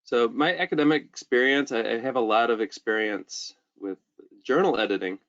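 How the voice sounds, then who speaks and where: A man giving an informal webinar lecture, speaking haltingly and often repeating words. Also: mid-range voice, a bit bright. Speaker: webinar presenter, journal editor. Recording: dull, band-limited webinar audio.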